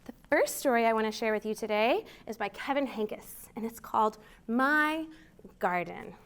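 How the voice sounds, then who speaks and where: An American woman hosting a children's storytime, reading aloud in an expressive, deliberate way for young listeners. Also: on the high side, smooth. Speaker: library storytime host.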